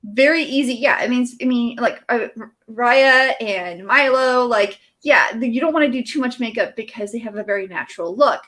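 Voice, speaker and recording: A young woman hosts a relaxed live chat and talks in a loose, chatty way, with plenty of fillers and laughs. Her voice sits a little higher than most. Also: normal speed. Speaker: podcast host. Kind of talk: casual live-stream chat.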